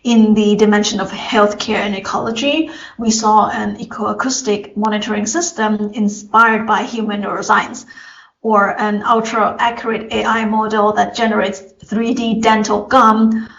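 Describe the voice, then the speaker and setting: A woman from Thailand with a Thai accent gives a formal, prepared academic presentation, measured and addressing an audience step by step. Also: medium pitch, flat delivery, a bit bright. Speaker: conference presenter. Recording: roomy echo, slightly dull sound.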